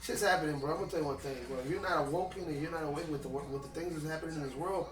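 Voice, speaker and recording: Fast-talking rapper, loose and emphatic. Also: male voice, on the high side, fairly even intonation. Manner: fast, loose and emphatic, peppered with slang and swearing. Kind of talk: casual interview chat. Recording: echoey room with music behind, mic at a distance.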